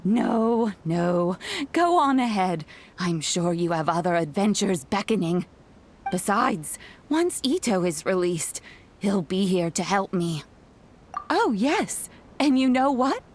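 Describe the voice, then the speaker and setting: Slow, kindly old granny. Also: female voice, medium pitch, sing-song. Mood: proud, amused. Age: older. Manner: unhurried, fond, gently chuckling. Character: kindly old granny, proud and gently doting. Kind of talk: video game voice acting.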